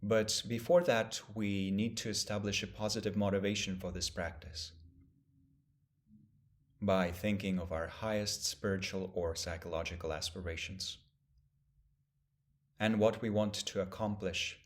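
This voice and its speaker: Low-pitched man guiding a meditation. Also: fairly even intonation. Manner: gentle, measured, with long pauses. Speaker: meditation teacher. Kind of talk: guided meditation instruction.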